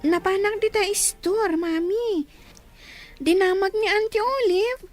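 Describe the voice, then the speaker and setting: Casting: woman as inquisitive young daughter in a village radio drama, very high childlike voice, dry close sound. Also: a little slow, dry recording, faint music behind. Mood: tattling. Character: curious, tattling young daughter in a village family. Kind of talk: Ilocano radio drama acting.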